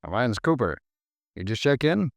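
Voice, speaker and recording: An actor playing a genial, leisured hotel guest in a 1950s radio drama, polite and airy, slightly evasive. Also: male voice, mid-range voice, very animated, moderate pace, creaky, dry recording, clean studio sound.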